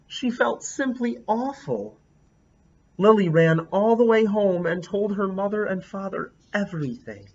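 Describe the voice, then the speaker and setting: A man reading a children's picture book aloud expressively, pausing to ask his listeners questions. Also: sits high, expressive. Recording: dull lo-fi recording.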